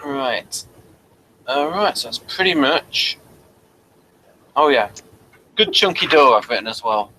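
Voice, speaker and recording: A British man hosting a casual review chat, moving haltingly from one point to the next. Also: mid-range voice, lively intonation, pushed and bright, a little hiss or hum, low-quality recording. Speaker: host of a TV review show.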